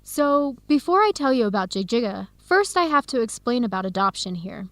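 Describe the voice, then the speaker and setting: An actress playing an earnest young American woman researcher in a radio drama, with clear, expressive, carefully enunciated speech. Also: a little high, normal speed, no room sound. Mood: earnest, explanatory. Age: young adult. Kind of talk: scripted radio drama dialogue.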